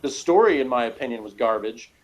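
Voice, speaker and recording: Guy just chatting through a tv review, kinda rambly and opinionated, normal pitch voice. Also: lively intonation, fairly quick, rough recording. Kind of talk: casual chat, episode review.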